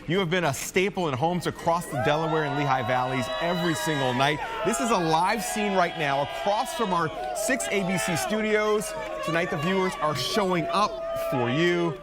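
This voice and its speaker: A man's voice: on the high side, normal speed, over a noisy crowd, very noisy. A man co-anchoring a live newscast, enthusiastic and celebratory as he hosts with colleagues. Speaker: news co-anchor. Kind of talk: live studio news banter.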